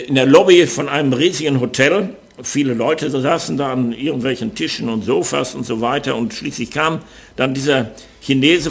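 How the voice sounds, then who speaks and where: An older man from the Ruhr area speaking with a Ruhr German accent, preaching an earnest, measured sermon with frequent hesitations. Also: a little high, creaky.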